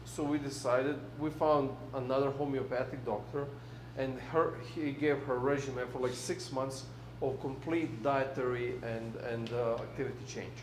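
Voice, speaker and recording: A man's voice: mid-range voice, steady tone, moderate pace, background noise. A man in his thirties with a non-native English accent, preaching a casual, chatty sermon as pastor, full of fillers and restarts. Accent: foreign accent, non-native English. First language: not English. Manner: chatty, many fillers and restarts, laughs easily.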